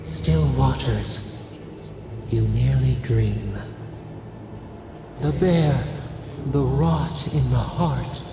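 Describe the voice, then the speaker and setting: Heavily creaking male spirit, ancient, mournful, halting, cavernous reverb, music behind, video game. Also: medium pitch, background noise, exaggerated voice. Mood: mournful, cryptic. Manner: cryptic, solemn, halting.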